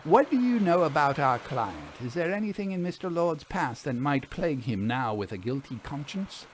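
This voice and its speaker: A man voicing an assured, brisk woman investigator in a story, with formal, articulate and inquisitive speech. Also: a little high, big pitch swings, slight background noise, pitched above the performer's own voice. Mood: brisk, probing. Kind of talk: audio storytelling, acted dialogue.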